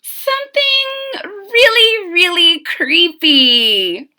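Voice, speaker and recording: A woman voicing an eager young girl witch who loves creepy things, in a children's storybook, with emphatic, drawn-out words. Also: extremely high pitch, lively intonation, bright, forceful tone, pitched above the performer's own voice, exaggerated voice. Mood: hesitant, eager. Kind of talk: children's storybook read-aloud.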